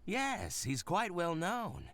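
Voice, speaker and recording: A man playing an excitable, covetous boutique owner in a video game, fussy and theatrical. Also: high-pitched, takes it slowly, heavily acted. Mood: admiring, scheming.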